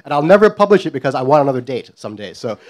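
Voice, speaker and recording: A man's voice: medium pitch, sing-song, very fast, darkish tone. A middle-aged man from Guyana telling a comic story on stage in a wry, self-deprecating way. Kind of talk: live comedic storytelling.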